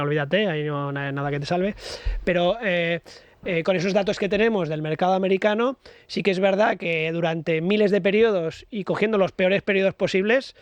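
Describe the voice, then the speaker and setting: A man's voice: fairly high pitch, no echo. A man, a guest expert on a radio show, explaining investment ideas with many hesitant fillers. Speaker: guest financial educator. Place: radio studio.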